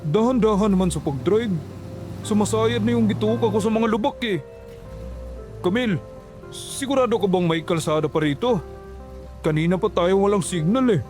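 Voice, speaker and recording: A man's voice: sits high, moderate pace, pauses often, darkish tone, soft background music, close to an everyday voice. A man voicing a hungry, joking young man who whines and teases his friends on a road trip. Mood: whiny. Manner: whiny, teasing banter.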